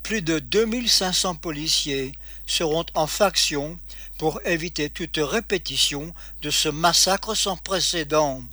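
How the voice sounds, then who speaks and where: A man filing a scripted radio news report as a correspondent, reading slowly and deliberately. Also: on the high side, bright, forceful tone, no echo.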